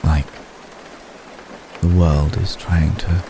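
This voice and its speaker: A man playing an ancient, brooding, remorseful vampire gentleman in an intimate ASMR roleplay, speaking formally and solemnly with long, measured pauses. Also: very deep voice, heavily acted. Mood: somber, wistful. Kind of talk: ASMR roleplay, intimate slow dramatic monologue. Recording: steady rain noise behind the voice. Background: rain.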